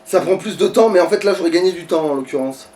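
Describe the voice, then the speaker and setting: A man's voice: high-pitched, quick pace, a little mellow. A man chatting casually as he plays a video game, grumbling and swearing at it. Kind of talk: casual gaming chat with commentary on own play. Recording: distant mic with background noise.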